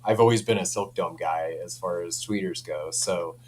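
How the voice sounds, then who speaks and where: A man's voice: low-pitched, moderate pace, darkish tone, slight background noise. A man speaking informally as a company representative in a casual product chat, often framing things as personal opinion. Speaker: audio company representative and interview guest.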